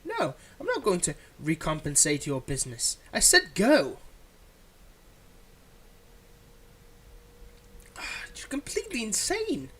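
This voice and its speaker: A performer playing a theatrical supervillain, menacing yet exasperated and softhearted, in a one-sided ASMR roleplay spoken straight to the listener. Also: mid-range voice, huge pitch swings, normal speed, husky, heavily acted. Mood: impatient. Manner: dramatic, swinging between threats and hushed soothing.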